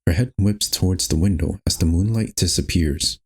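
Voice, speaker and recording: A man's voice: low voice, moderate pace, husky. A man reading scripted, storybook-style narration for a horror game.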